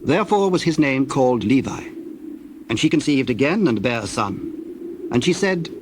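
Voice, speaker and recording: A man narrating scripture as a formal, measured storyteller in a scripted dramatization. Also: mid-range voice, lively intonation. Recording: clear background noise, birdsong and doves.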